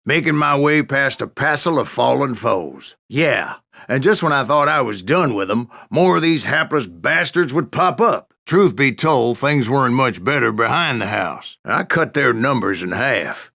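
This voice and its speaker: An actor playing a grizzled old gunslinger, telling boastful tales in a gravelly American Western drawl with a folksy, sardonic manner. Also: male voice, medium pitch, expressive, unhurried, bright, forceful tone, exaggerated voice. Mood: boastful, wry. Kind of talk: dramatic first-person storytelling.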